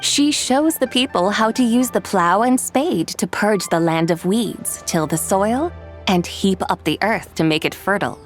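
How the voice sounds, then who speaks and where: A woman's voice: medium pitch, expressive, soft background music, lightly acted. Woman as a formal, lofty immortal maiden. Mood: lofty, reverent. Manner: formal, haughty, measured recitation. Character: lofty ancient female immortal in youthful form, curious, regal. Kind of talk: video game cutscene acting.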